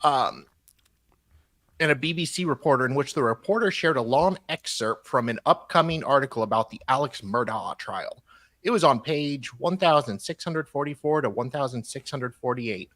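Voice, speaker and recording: A man co-hosting a live show, reading documents aloud in a halting way with casual, joking asides. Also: mid-range voice, expressive, a bit bright, no room sound. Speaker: co-host.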